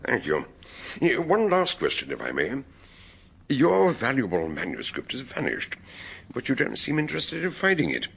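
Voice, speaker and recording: An actor playing a shrewd gentleman investigator in a radio drama, deliberate, wry and probing in manner. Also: mid-range voice, huge pitch swings, creaky, husky, full character voice. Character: shrewd, mannered gentleman sleuth questioning suspects.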